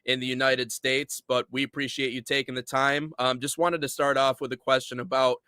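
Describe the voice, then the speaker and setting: Guy hosting a soccer show, bright sharp voice, dead dry sound, easygoing. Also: mid-range voice, little pitch movement, brisk, smooth, dry recording. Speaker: podcast host. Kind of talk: podcast interview.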